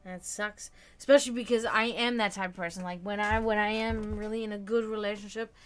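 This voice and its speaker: She is a woman in her thirties hosting a casual live stream, and her voice sits at an ordinary middle pitch. She talks frankly and thoughtfully, answering people she can't see with quick agreements, then lets her sentences fade into quiet musing. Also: fairly even intonation, clear, resonant tone. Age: 30s. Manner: frank and reflective, often trailing off quietly. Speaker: stream host.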